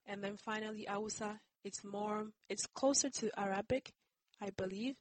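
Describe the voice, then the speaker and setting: A woman's voice: mid-range voice, fairly even intonation, moderate pace, dry recording. A woman from Nigeria whose first language is Yoruba, answering interview questions in a careful, explanatory way and often hedging with "I believe". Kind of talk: conversational interview.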